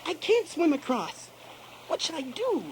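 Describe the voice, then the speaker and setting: A woman's voice: high-pitched, sing-song, measured pace, a loud crowd. A woman voicing a cheeky, naive little gingerbread boy in a sing-song way for a children's storybook recording. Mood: unsure, naive. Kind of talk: children's storybook audio acting. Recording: loud music behind the voice. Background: music.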